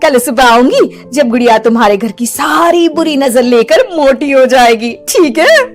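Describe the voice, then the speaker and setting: A cunning, coaxing witch in disguise, as a woman narrator puts her on. The voice is high and theatrical, made for a storybook horror tale, with faint music. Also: expressive, unhurried. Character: cunning witch posing as evil-eye healer selling dolls. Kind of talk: acted horror story dialogue.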